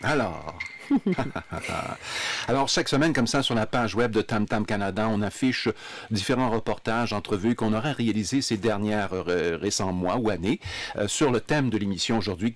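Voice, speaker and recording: A man's voice: fairly low pitch, moderate pace, no echo. A man hosting a radio panel in the studio, leading the talk with figures and questions and teasing his guests. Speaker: radio show host.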